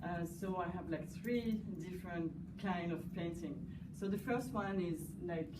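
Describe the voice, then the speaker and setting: A woman's voice: on the low side, a little slow. A woman from France with a French accent, talking casually about her art in an interview, hesitant and full of fillers. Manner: hesitant, many fillers, warm laughter. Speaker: guest artist. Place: cafe gallery. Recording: echoey room, distant mic, background noise.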